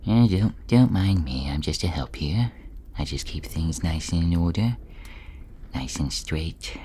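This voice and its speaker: A man voicing a meek, chatty dungeon servant with a hushed, throat-burnt rasp and a hesitant, self-effacing manner in an audio role-play. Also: very deep voice, expressive, unhurried, heavily acted.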